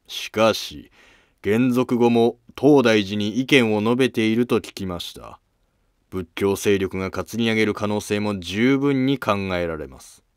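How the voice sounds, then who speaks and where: Man acting a low-voiced, deferential court noble. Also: a little slow, no room sound, clean studio sound, natural voice. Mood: cautioning. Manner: deferential, formal, insinuating. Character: polite, probing male court noble and imperial confidant. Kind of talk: scripted historical drama dialogue.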